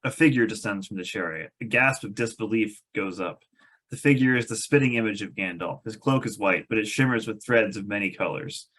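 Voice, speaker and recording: A man's voice: lowish voice, fairly quick, a bit bright, slightly rough. A man reading stage directions aloud as narrator of a scripted table read.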